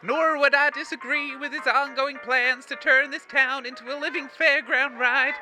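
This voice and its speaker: A man's voice: very high-pitched, rough recording. A man playing an anxiously over-compliant townsperson who insists on being happy, in a comedy radio drama. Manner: over-insistent, formal denials.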